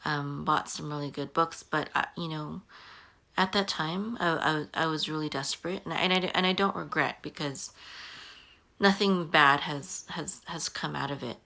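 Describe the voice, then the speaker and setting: A woman in her forties talks through her own experience in a loose, unscripted way, filling the gaps with ums and restarting phrases. Her voice sits low yet carries a bright, forward edge. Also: vocal fry. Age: 40s. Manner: halting, many ums and restarts. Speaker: online business coach. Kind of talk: casual talking-head advice.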